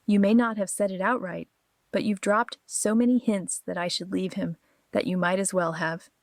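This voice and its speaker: A woman's voice: medium pitch, fairly even intonation, normal speed, no room sound, lightly acted. A woman playing a conflicted, defensive and vulnerable married mother in an audio drama.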